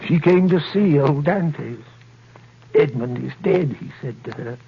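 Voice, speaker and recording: An actor in a 1930s radio drama playing a plain-spoken man who gossips mournfully about old neighbours, husky-voiced. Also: a little high, animated, a little slow. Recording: muffled scratchy 1930s radio broadcast.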